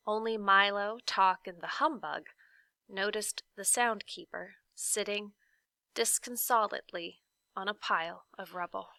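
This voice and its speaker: A woman reading a children's storybook aloud as a teacher, expressive and dramatic, with swells and hushes. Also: mid-range voice, no room sound.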